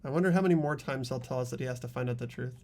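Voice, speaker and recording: A man's voice: medium pitch, rapid-fire, a little mellow, faint music behind. A man hosting a game playthrough in casual, wry chat with friends. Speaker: host of a voiced game playthrough. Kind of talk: casual chat while playing.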